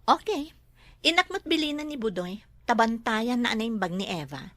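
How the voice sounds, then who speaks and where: Lively, self-satisfied schemer. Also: female voice, a little high, normal speed, dry recording. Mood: breezy, scheming. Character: self-satisfied, scheming woman celebrating her birthday. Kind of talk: Ilocano radio drama acting.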